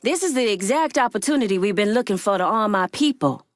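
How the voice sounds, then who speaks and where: A woman's voice: mid-range voice, animated, quick pace, no room sound, clean studio sound, close to an everyday voice. An actress playing a tough, shrewd Haitian woman gang boss in a video game, blunt, streetwise and commanding. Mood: resolute, businesslike.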